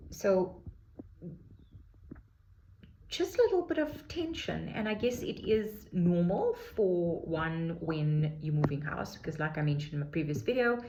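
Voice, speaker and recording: A woman in her forties speaks in a casual personal vlog, slow and reflective, often pausing on fillers and restarting her sentences. Her voice sits a little low, and a faint noise runs under the recording. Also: big pitch swings, dark tone, low-quality recording. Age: 40s. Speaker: vlogger sharing her healing journey.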